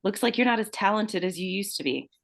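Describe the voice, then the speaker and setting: A woman's voice: mid-range voice, lively intonation, a little fast, creaky. A woman playing a vain, unhinged beauty-queen murderer in a live screenplay table read, taunting and swearing with theatrical flair. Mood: menacing taunts. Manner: taunting, profane, theatrical outbursts.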